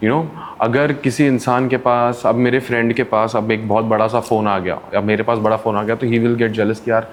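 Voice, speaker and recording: A man's voice: mid-range voice, normal speed, hardly any pauses. A man, an interview guest who works as a counsellor, telling stories in casual conversation and acting out the people in them. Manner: animated, acts out quoted people with voices. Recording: faint background noise.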